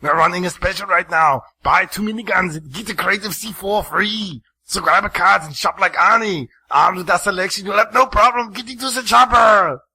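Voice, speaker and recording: A man voicing a loud, pushy weapons-shop pitchman in a spoof radio ad, delivering hard-sell patter. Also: moderate pace, hardly any pauses, slightly rough, full character voice.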